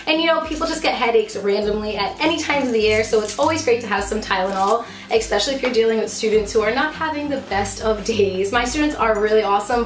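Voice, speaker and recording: A woman with a slightly hoarse voice from too much talking, chatting casually and emphatically to camera as a teacher and vlogger showing her things. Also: a little high, reverberant. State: losing voice from talking. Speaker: vlogger, fifth grade teacher. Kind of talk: casual chatty product show-and-tell to camera.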